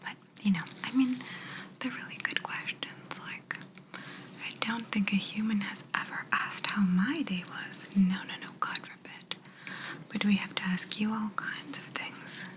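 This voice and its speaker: A woman's voice: mid-range voice, close to an everyday voice, poor audio. Whispering, smug female alien examiner played by a woman. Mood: smug, teasing. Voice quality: soft, breathy whisper. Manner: unhurried, fussy, mildly condescending asides. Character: smug, chatty female alien examiner, clinical yet reassuring. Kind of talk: ASMR personal-attention sci-fi roleplay.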